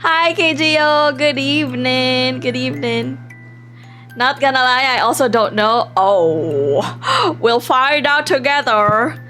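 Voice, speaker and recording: A woman hosts a casual game livestream in a high-pitched, very animated voice. She is excitable and expressive, yet takes her time as she chats and reads text aloud. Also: takes it slowly, soft background music. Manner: excitable, giggly, expressive reactions. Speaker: livestream host. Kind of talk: casual live game stream with read-aloud and reactions.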